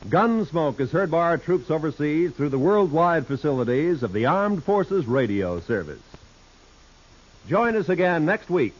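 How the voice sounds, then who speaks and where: Casting: male American vintage radio announcer, fairly high voice, formal credit-reading delivery, muffled recording. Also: sing-song, measured pace.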